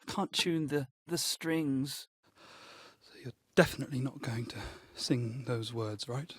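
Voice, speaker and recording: An actor playing a down-to-earth, grumbling medieval musician in a video game, muttering in a matter-of-fact way. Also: male voice, mid-range voice, a little slow, breathy edge, no room sound, clean studio sound. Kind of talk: video game character acting.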